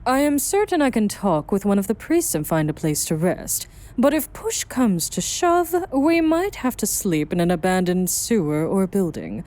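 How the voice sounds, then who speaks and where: A woman's voice: medium pitch, big pitch swings, moderate pace, hardly any pauses, a little mellow, close to an everyday voice. A woman playing a worldly, guarded vampire sire in an audio drama, explaining things in dramatic phrasing. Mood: wry, practical. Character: self-exiled female vampire sire, worldly, guarded, brooding.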